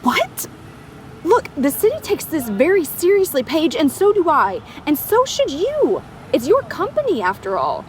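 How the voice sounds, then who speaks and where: A bookish young woman, high-voiced and animated, prim and pedantic in her wording. She sounds earnest and cautious, in audio-drama dialogue over clear street noise. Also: unhurried, heavily acted. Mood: exasperated, earnest. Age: young adult. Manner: precise, prim, exasperated. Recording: noisy street and vehicle ambience.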